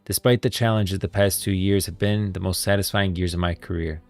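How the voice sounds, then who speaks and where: Low-pitched man with a gravelly creak. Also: steady tone, faint music behind. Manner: informal, halting, repeats phrases while thinking aloud. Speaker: streamer, host of a gaming lore stream. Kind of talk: casual livestream chat, reading posts aloud.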